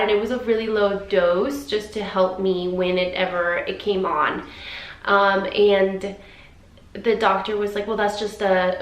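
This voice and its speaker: A woman in her thirties talking candidly and emotionally in a personal vlog, rambling a little as she shares her feelings. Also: mid-range voice. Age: 30s. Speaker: vlogger. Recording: roomy sound with faint noise.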